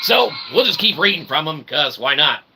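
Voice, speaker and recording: A man hosting a comedy show in a rambling, mock-earnest monologue, halting and trailing off between phrases. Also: fairly high pitch, sing-song, over music. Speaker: comedy show host and author.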